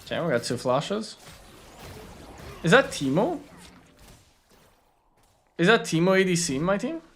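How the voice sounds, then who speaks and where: A young man whose first language is Greek, streaming a game and chatting casually with viewers, relaxed and full of fillers. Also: sits high, unhurried, faint music behind. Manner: relaxed, filler-heavy, lots of "like". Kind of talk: casual gaming commentary, answering chat.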